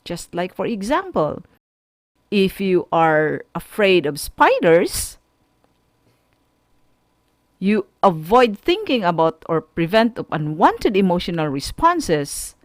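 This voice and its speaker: Slow, expressive Filipino woman teaching. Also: on the low side, dry recording. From Philippines. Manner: deliberate, didactic, asks the listener questions. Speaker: radio school teacher. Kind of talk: instructional radio lesson addressed to students.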